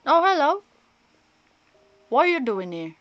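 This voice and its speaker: A woman's voice: sits high, very animated, a little slow, no room sound, dull audio, soft background music. Woman streamer chatting, close dry sound. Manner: rambling, thinking aloud. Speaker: video game livestreamer. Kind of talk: casual chat and reactions while playing.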